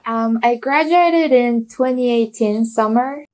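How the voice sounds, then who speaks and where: A woman's voice: a little high, takes it slowly, a little mellow. A young woman from South Korea with a Korean accent, talking casually as a guest on a video call, with many fillers and restarts as she searches for words. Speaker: guest, designer. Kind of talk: casual interview chat. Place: small home room with bookshelves. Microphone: earphone mic on video call.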